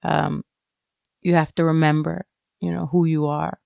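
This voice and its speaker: A woman giving a reflective personal interview, speaking haltingly and often dropping in "like" and "you know.". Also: deep voice, little pitch movement, gravelly, darkish tone, no echo, studio quality. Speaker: interviewed writer.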